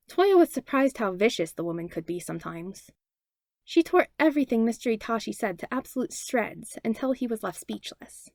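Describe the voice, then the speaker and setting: A woman's voice: medium pitch, darkish tone, no room sound, studio quality. A woman reading a story aloud as scripted narration. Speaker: podfic reader.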